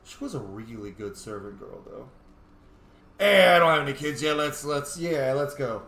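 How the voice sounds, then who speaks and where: A man's voice: medium pitch, expressive, moderate pace, creaky, fairly bright tone. A man giving casual, jokey playthrough commentary on a role-playing game, riffing as he goes. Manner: jokey, riffing, easily amused. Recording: roomy echo, faint noise.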